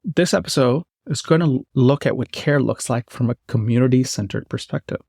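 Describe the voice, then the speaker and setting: Creaky-voiced man hosting a podcast. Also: medium pitch, moderate pace, darkish tone, no room sound, clean studio sound. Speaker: podcast host. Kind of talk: scripted narration and interviewing.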